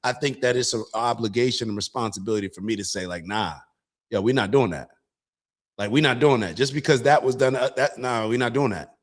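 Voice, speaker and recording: A man's voice: mid-range voice, a little fast. Earnest man hosting political commentary. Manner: earnest, restarts sentences, addresses viewers as y'all. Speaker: show host.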